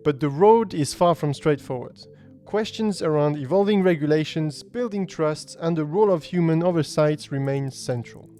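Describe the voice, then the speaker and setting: A man's voice: on the high side, normal speed, warm tone, faint music behind. A man hosting a business podcast, reading a scripted intro and then hosting in an upbeat, enthusiastic way. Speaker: podcast host.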